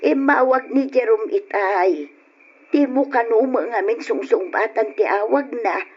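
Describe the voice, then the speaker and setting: A woman's voice: fairly high pitch, unhurried, husky. A woman with a Filipino Ilocano accent playing a worried, middle-aged mother who probes and admonishes her grown daughter in an old-time radio drama. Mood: reproachful, concerned. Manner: probing, admonishing. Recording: dull old radio recording.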